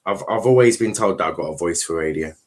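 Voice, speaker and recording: A man's voice: deep voice, fast talker. A man hosting a livestream watchalong, giving casual race commentary with informal, sweary, joking asides.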